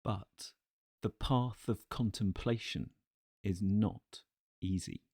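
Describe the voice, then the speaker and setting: A man reading a philosophical text as scripted narration, in a formal, measured and deliberate way. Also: lowish voice, darkish tone, slightly rough, no room sound, clean studio sound. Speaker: audiobook narrator.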